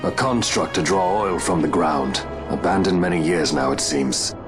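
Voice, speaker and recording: Man doing a very deep loyal knight, solemn and steady, music under the voice. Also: normal speed, natural voice. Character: loyal stoic middle-aged male knight. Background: game soundtrack music.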